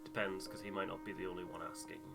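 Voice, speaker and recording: A man's voice: low voice, level tone, fast, gravelly, over loud music. A man hosting a gaming playthrough in casual chat. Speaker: playthrough host and co-reader.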